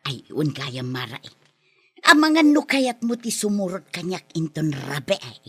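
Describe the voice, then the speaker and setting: An actress with an Ilocano accent playing a mischievous village housewife in a radio comedy, coaxing and playful. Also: female voice, fairly low pitch, very animated, unhurried, husky, no room sound, full character voice. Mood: casual.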